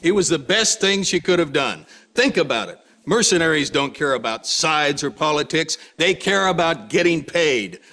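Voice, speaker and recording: A smug political commentator argues on a fictional game newscast. His voice is fairly high, and his delivery is emphatic and sure of itself. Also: lively intonation, normal speed, hardly any pauses, bright, forceful tone. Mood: smug, insistent. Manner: emphatic, self-assured, smug. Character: opinionated, smug male political news pundit. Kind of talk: game newscast acting, punditry.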